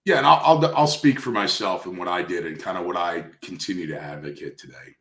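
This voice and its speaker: A man's voice: deep voice, fairly quick, a bit bright. A man speaking conversationally in an industry interview, coaching emphatically and repeating key phrases for stress. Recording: roomy, echoey sound.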